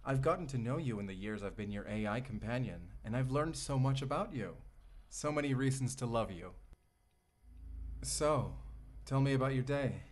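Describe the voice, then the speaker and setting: A man's voice: mid-range voice, lively intonation, moderate pace, natural voice. Guy playing an ai boyfriend, devoted and sweet, polite articulate wording, talking close to his love in a romance roleplay. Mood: tender, devoted. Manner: articulate, tender, formally polite. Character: devoted male AI companion, tender, earnest, lovestruck. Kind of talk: romantic audio roleplay, speaking intimately to the listener.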